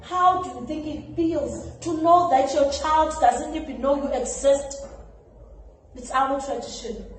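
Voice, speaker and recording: A woman's voice: sits high, a little slow. An actress playing an older South African mother, weary and defensive, delivering an emotive stage monologue. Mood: pleading, hurt. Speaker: trainee actor. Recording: very echoey room, distant mic, background noise.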